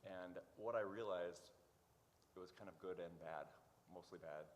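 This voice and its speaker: Deep guy getting interviewed, kinda hesitant, lots of pauses, big echoey room w some noise. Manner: hesitant, halting delivery with many pauses. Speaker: interview guest, church worker. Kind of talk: informal live interview. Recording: echoey hall, noticeable background noise.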